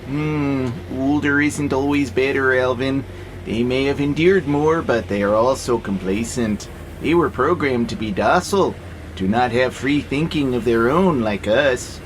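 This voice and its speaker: A man plays a wry warehouse robot who prefers peace in an audio drama. He talks in a mid-pitched, laid-back voice with a dry, skeptical edge. A faint noise sits behind him. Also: a little slow, lightly acted, poor audio. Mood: doubtful, dry. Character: wry, easygoing pacifist male warehouse robot who loves nature.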